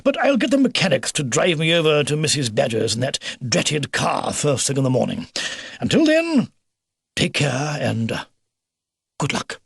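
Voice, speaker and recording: Storybook old beaver grandpa played by a man: hearty military bluster, kindly, gruff gravel, very animated delivery. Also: on the high side, moderate pace, no room sound, clean studio sound, voice pushed up, heavily acted. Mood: brisk, rueful. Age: older.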